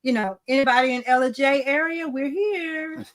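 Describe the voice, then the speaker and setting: A woman's voice: high voice, normal speed. A woman who is a guest on a livestream, chatting casually, agreeable and often affirming what others say. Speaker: guest RV vlogger.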